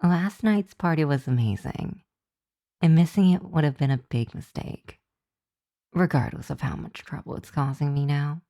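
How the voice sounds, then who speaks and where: Woman doing a teasing vampire college girl, asmr roleplay, mellow slow voice, very dry close sound, playful. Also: low-pitched, dry recording. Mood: playful, defensive. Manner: playful, teasing, drawn-out delivery with breathy asides.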